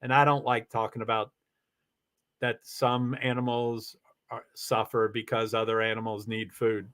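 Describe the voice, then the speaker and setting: A man streaming live from home, chatting casually and rambling excitedly with lots of exclamations and restarts. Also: fairly low pitch, moderate pace, smooth. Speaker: live streamer reading chat. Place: home in Washington State.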